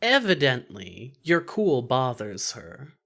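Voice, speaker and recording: A woman reading scripted second-person fan fiction narration in an expressive, wry way. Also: extremely low pitch, slow pace, creaky.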